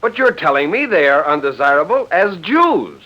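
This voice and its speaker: A man's voice: a little high, big pitch swings, pushed and bright, voice pushed up. An actor playing an earnest, stubborn man who objects insistently, in 1940s radio drama style. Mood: agitated, indignant. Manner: agitated, insistent, halting when interrupted. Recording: dull old radio recording.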